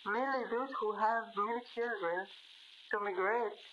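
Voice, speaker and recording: A young woman from Gaza with a Palestinian Arabic accent, a journalist answering interview questions, searching for words with frequent pauses and fillers. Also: fairly high pitch, a bit bright, highs cut off, a little hiss or hum. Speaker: journalist guest.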